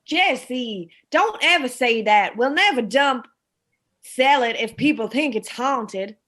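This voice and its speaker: Woman playing an exasperated mother, high-pitched. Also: expressive, slow, fairly bright tone, full character voice. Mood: nagging, insistent. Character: exasperated, status-conscious middle-aged mother. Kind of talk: amateur radio drama acting.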